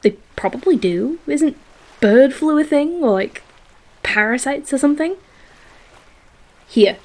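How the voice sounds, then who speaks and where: A woman's voice: sits high, animated, measured pace. A woman acting a playful, affectionate girlfriend in a romantic audio roleplay, with a theatrical, teasing manner. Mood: indignant, grossed out. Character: playful, dramatic, affectionate girlfriend on a beach picnic. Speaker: voice actor.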